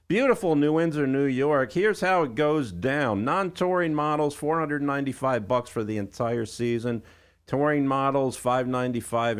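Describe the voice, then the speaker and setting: A man hosting a radio show, with conversational, emphatic sales patter. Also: medium pitch. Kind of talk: radio hosting and live ad reading.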